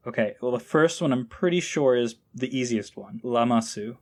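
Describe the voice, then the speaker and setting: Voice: medium pitch, moderate pace. An easygoing podcast guest chatting casually in a hesitant, self-deprecating way. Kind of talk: casual chat.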